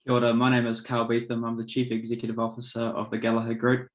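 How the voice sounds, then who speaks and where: A man's voice: medium pitch, monotone, quick pace. A man giving a businesslike corporate self-introduction in an echoey, muffled recording. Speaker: chief executive, competition judge. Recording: echoey room, dull muffled sound.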